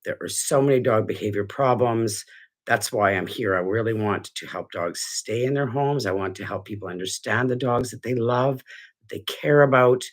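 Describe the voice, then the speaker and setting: Middle-aged male dog trainer; husky, congested; rambling, sincere home video host. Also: medium pitch, normal speed. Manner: earnest, rambling with restarts. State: stuffy, congested. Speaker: dog trainer hosting a weekly show. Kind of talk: casual talk to camera. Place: living room at home.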